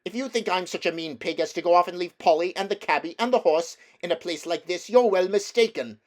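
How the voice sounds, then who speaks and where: A man's voice: sits high, a little fast, pitched above the performer's own voice, lightly acted. A man voicing a bold, indignant young schoolboy from a children's storybook. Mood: defiant.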